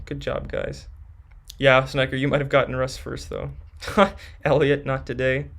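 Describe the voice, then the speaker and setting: A man's voice: mid-range voice, a bit bright. A young man hosting a live stream, reading viewers' questions from chat and answering them casually.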